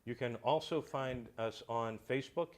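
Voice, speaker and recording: A man's voice: mid-range voice, moderate pace. A man speaking as a guest in a casual interview about his creative work, hesitant and often repeating words mid-sentence. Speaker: guest, writer and creator of a comedy audio show.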